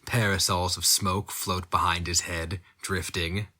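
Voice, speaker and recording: A man giving a dramatic reading of dark fiction, slow and intense, rising into high, strained outcries at moments. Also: little pitch movement. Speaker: narrator.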